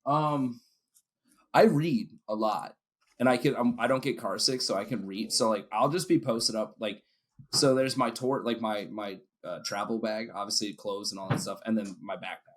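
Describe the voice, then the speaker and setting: A man's voice: on the low side, normal speed, slight background noise. A man, a guest band member, joking and bragging in a casual podcast chat.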